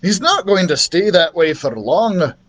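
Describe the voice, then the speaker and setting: Man voicing an eager young dragon, pitched up. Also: very animated, measured pace, fairly bright tone, a little hiss or hum, heavily acted. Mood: urgent. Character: gassy, good-natured young male dragon, eager to help.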